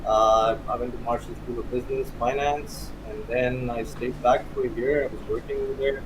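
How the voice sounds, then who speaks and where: A man's voice: mid-range voice, normal speed. Pakistani-accented man over noticeable background noise. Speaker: interview guest, cigar hobbyist. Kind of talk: casual interview chat. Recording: clear steady background noise.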